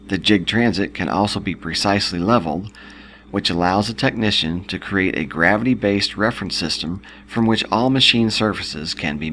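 A man reading a scripted instructional product narration in a measured, explanatory manner. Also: low-pitched, fairly bright tone, slight background noise.

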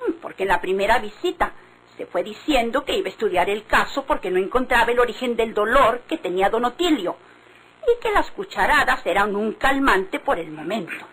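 A stubborn, suspicious Mexican village woman in an old radio drama. Her voice is fairly high and insistent, on a recording with faint background noise. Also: very animated, a little fast, fairly bright tone, poor audio. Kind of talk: vintage Mexican radionovela acting.

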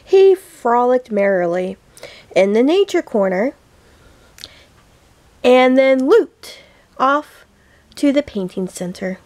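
Woman, storybook read-aloud for small kids; slightly high voice, unhurried, deliberate delivery. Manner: slow, deliberate, expressive phrasing for young children. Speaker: teacher reading a children's picture book aloud.

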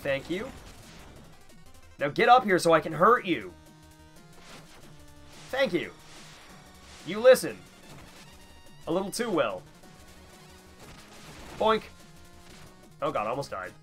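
A man's voice: a little high, very animated, takes it slowly, pauses often, fairly bright tone, soft background music. A young man with a stuffy nose giving casual, rambling, self-deprecating gameplay commentary. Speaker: gamer, let's player.

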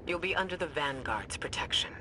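Calm tough leader in a game, firm, loud background noise. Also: female voice, low voice, a little fast. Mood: resolute. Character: resolute, protective female commander. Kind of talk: video game dramatic dialogue. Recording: loud ambient noise behind dialogue.

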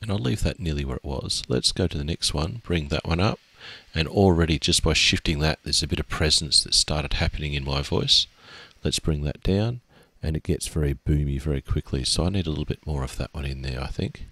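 A middle-aged man teaching music software step by step in a steady, explaining way with small fillers, from a small room at his desk. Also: a bass voice, a little fast, husky. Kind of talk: screen-recorded software tutorial.

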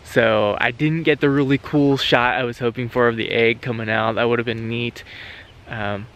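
A man's voice: mid-range voice, steady tone, moderate pace, a bit bright. Earnest homesteader man filming himself outdoors. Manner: earnest, reflective, talking while walking outdoors. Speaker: homesteader vlogger. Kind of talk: casual vlog to camera. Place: open pasture outdoors, rainy day. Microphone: camera held at arm's length.

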